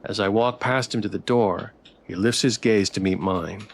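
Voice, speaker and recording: A man live-streaming a story game, switching between casual, playful, halting chat with viewers and reading narration aloud. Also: lowish voice. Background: background music.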